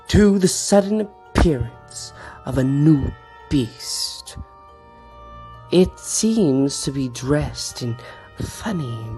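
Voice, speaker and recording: Very slow, suspenseful male narrator. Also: medium pitch, darkish tone. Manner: slow, suspenseful, drawn-out phrases. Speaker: game master narrator. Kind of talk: dramatic scripted fantasy-horror narration. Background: background music.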